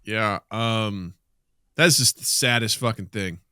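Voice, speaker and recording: A man's voice: lowish voice, slow, pushed and bright, smooth, dry recording, clean studio sound. A middle-aged American man co-hosting a podcast, chatting casually and comedically in an exasperated, profane rant. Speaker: podcast co-host.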